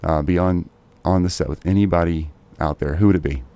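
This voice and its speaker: Deep-voiced man hosting an upbeat chat. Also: steady tone, a little fast, mellow. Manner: upbeat, encouraging, lots of fillers. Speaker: podcast host. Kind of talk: casual interview.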